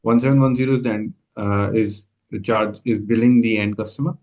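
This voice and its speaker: Lower-pitched man on dull audio. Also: fairly even intonation, fairly quick, a little mellow. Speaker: co-presenter summarizing the process. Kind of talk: informal explanatory recap.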